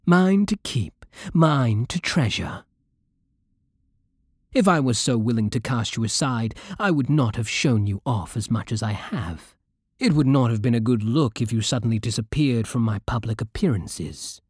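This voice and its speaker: A man playing a possessive, commanding vampire king in an intimate ASMR roleplay, with a hushed, breathy British delivery that is slow and deliberate. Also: mid-range voice, lively intonation, no echo, clean studio sound. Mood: possessive, smug.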